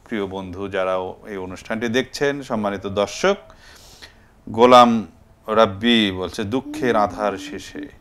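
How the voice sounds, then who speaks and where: A man with a Bangladeshi accent hosting a festive phone-in show, speaking politely with hesitant pauses. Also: fairly low pitch, vocal fry, a bit bright, slight background noise. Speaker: live TV show host.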